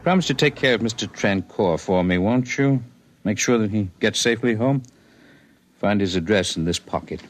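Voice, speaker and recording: Man as a low, wistful visitor from the stars. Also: close to an everyday voice. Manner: formal, measured phrasing. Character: wistful, gentle alien visitor inhabiting a man's body. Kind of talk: 1950s radio drama acting. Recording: noisy vintage radio broadcast recording.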